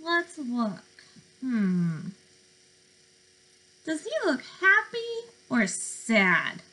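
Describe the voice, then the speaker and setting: A young woman leads a read-aloud for preschoolers at an unhurried pace. Her voice sits fairly high and has a bright, clear edge. She speaks expressively, like a teacher, posing questions and leaving pauses for little listeners. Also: huge pitch swings, poor audio. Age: young adult. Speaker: speech-language pathologist presenting to preschoolers. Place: bedroom, indoors.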